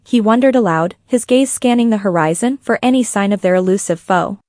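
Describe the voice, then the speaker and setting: A woman's voice: mid-range voice, a little fast, a little mellow, dry recording, clean studio sound. A woman reading scripted fan fiction as a story narrator.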